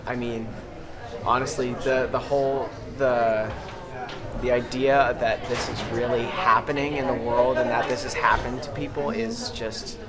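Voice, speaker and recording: A man's voice: mid-range voice, flat delivery, a little slow. A young man, an audience member, giving casual, enthusiastic remarks in an interview. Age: young adult. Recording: loud crowd chatter behind.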